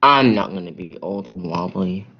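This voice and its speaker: A stubborn, teasing schoolboy from a storybook acted by a man. The voice sits on the low side, on a dull recording. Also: normal speed, slightly rough. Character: stubborn, teasing schoolboy saying goodbye to friends.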